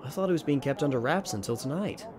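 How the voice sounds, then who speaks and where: A man's voice: mid-range voice, animated, fast talker, close to an everyday voice. A man playing an earnest, dutiful pony captain of the royal guard in a radio drama, formal and pleading in manner. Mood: gently authoritative. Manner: formal, pleading, gentle with children. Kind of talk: fan radio drama voice acting.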